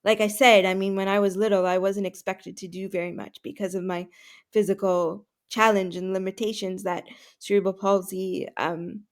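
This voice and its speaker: A woman's voice: mid-range voice, level tone, moderate pace. A woman whose first language is Russian, answering podcast interview questions earnestly, with many fillers and restarts. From Canada. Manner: earnest, with frequent fillers and self-corrections.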